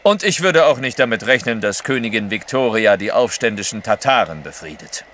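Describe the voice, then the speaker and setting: Knapper Kaufmann mit heller Stimme. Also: male voice, fairly low pitch, expressive, fairly quick, a bit bright, a little hiss or hum. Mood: terse, proud. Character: blunt, proud Russian merchant travelling east. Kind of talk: audio drama acting.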